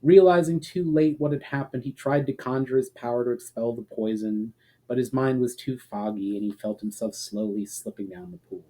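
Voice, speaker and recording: A man narrating a fantasy story as an expressive, dramatic reader. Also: mid-range voice, normal speed, poor audio. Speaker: narrator and author.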